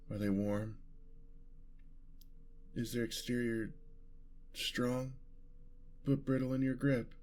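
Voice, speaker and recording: A man playing a gentle, lovestruck, world-weary vampire in an ASMR roleplay, with courtly, flowery and tender phrasing. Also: mid-range voice, slight background noise, natural voice. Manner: formal, flowery, tender old-fashioned phrasing.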